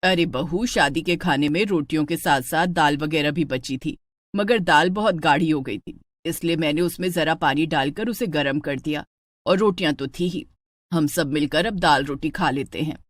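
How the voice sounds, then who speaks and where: Story role: penny-pinching, nagging mother-in-law, acted by a female narrator, middle-aged, lowered voice, bossy yet coaxing, dry close sound. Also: normal speed, no room sound. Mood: coaxing, breezy.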